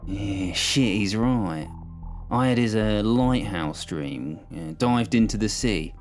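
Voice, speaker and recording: A man's voice: fairly low pitch, slow, faint music behind. A man voicing a blunt, impatient male detective who swears freely, in an audiobook.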